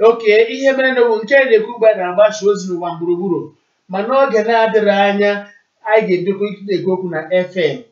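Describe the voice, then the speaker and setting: Male Igbo Nigerian talk host, high voice, formal announcing delivery, heard through a distant, muffled stream. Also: racing. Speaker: radio call-in show host. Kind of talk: live broadcast announcing and answering callers. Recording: echoey, distant, muffled internet radio stream.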